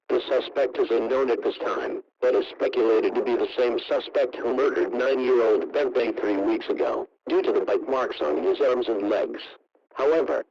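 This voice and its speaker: A man's voice: mid-range voice, close to an everyday voice. A man's voice as an automated emergency alert announcer, formally reading an official bulletin in an impersonal, sober manner. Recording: dull, noisy radio-style alert broadcast.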